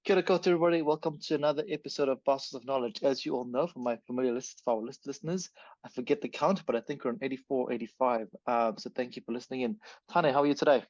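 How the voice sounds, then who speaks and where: A man's voice: medium pitch, very fast, darkish tone, no echo. A man hosting a podcast, talking in a rambling, self-correcting way. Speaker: podcast host. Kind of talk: casual podcast chat. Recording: dry, dull lo-fi sound.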